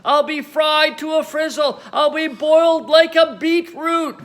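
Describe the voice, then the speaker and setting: A man voicing a cantankerous, frantic old grandmother in a children's storybook, yelling in a shrill, strained voice. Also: steady tone. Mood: indignant. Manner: yelling, exclamatory, drawn-out cries.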